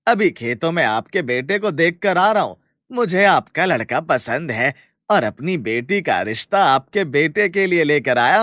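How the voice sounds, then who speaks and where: A courteous village father played by a man in a cartoon folk tale. He speaks formally in a raised, high-pitched voice with a dry, close sound. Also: a bit bright, no echo. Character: polite village father offering his daughter in marriage.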